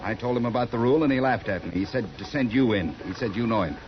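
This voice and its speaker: A man's voice: medium pitch, quick pace, natural voice. Officious government man played by a male actor, muffled recording. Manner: prim, insistent, crisp wording. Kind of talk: 1950s radio drama acting. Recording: dull lo-fi 1950s radio recording.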